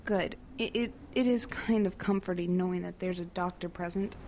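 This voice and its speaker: A woman's voice: on the low side, fairly even intonation, normal speed, darkish tone, a little hiss or hum, lightly acted. An actress playing a naive, nervous young woman in a comic radio drama about a haunting. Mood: timid, curious.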